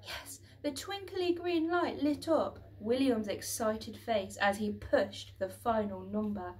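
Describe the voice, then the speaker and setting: A woman narrates a children's storybook with expressive drama, taking her time over each phrase. Her voice sits fairly high and is picked up a little way from the microphone. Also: slow pace, distant mic, low-quality recording. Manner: dramatic, with acted sound words. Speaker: storyteller reading a children's book aloud.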